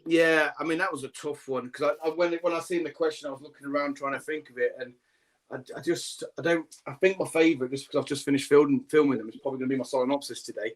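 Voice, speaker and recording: Rambling man with a slightly high voice. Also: fast, rough recording. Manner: rambling, relaxed, many "um"s and fillers. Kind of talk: casual chat.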